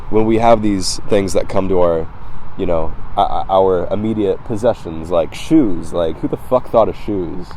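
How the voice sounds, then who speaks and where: A slightly hoarse man vlogging outdoors, talking in a casual, reflective, halting philosophical monologue with repeated words. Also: low-pitched, mellow, a little hiss or hum, poor audio. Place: outdoors by the water.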